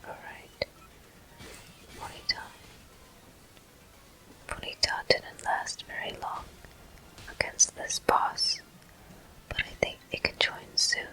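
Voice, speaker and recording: A breathy whisper with a bright, crisp edge, delivered very slowly. The speaker narrates a video game in ASMR style, softly and close to the microphone, taking each step gently. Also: poor audio. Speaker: gaming video host.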